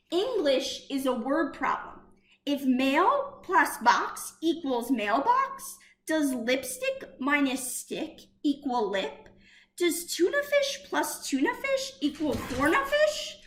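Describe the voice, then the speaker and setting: Slow, high-pitched woman reading to children. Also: echoey room. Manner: expressive, deliberate storytelling with questioning lifts. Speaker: teacher reading aloud to children. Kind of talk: children's picture book read-aloud.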